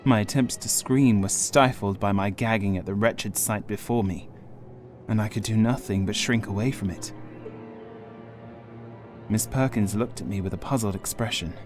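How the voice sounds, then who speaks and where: A man reading a horror audiobook as scripted dramatic narration, grave, measured and suspenseful. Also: lowish voice. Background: music.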